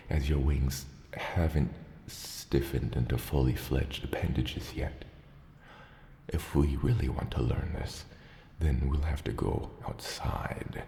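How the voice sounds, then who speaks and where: A man voicing a gentle, fatherly mountain dragon in a soft ASMR roleplay, patient and measured, with formal wording and hesitant asides. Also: full character voice. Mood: encouraging. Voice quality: gravelly, very deep. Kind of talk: ASMR roleplay, soft slow scripted monologue. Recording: roomy long cave-like reverb, faint noise.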